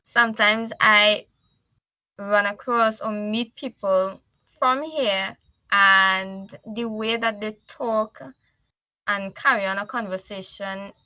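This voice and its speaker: Bright-voiced Trinidadian woman presenting. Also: medium pitch, fairly even intonation, slow pace, dry recording, rough recording. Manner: reads slides, then explains in loose everyday talk. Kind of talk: slide presentation.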